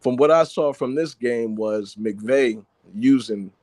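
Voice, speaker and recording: A man calling in to a sports show, chatting in a relaxed way. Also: mid-range voice, slow pace, no room sound, studio quality. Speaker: caller. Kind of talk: casual sports talk.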